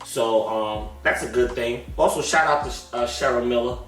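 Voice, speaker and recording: A man co-hosting a sports podcast, talking in a relaxed, knowledgeable way that often trails off. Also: mid-range voice, normal speed. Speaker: podcast co-host. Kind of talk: casual sports talk. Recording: echoey room, far from mic, faint music.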